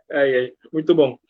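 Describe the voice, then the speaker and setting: A man with a fairly high voice hosts a relaxed online interview. He speaks conversationally, as to guests and viewers on a live stream, and the sound is a little dull and lo-fi. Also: husky. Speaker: interview host. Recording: muffled, lo-fi online stream audio.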